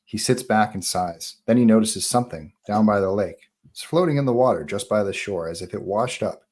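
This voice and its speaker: A man's voice: on the low side, brisk, darkish tone. A man narrating stage directions at a live screenplay table read.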